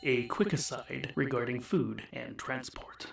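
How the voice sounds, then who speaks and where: A man's voice: medium pitch, a little slow, gravelly. A man narrating a scripted comic fantasy story, with music behind him. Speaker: audiobook narrator. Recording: music under the voice.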